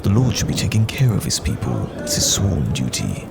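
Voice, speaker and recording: A man playing a courteous, earnest wandering knight in fantasy roleplay audio, speaking in a measured, formal, old-fashioned way with a gravelly voice. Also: wildly swooping pitch, heavily acted. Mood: concerned, indignant. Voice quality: gravelly, very deep. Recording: music behind the voice.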